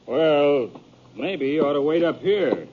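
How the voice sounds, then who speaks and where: A man's voice: fairly high pitch, lively intonation, slow pace. A man acting a plain-spoken, suspicious posse guard with rustic American speech in a 1950s radio western. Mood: challenging. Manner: rustic, folksy, challenging. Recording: tinny, narrow, hissy old radio recording.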